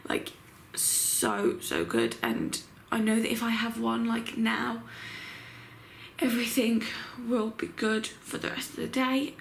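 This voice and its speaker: Croaky-voiced young pregnant woman vlogging. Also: a little high, lively intonation, takes it slowly, slight background noise. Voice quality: croaky morning voice. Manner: chatty, with constant fillers. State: heavily pregnant. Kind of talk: casual vlog chat. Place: home bedroom.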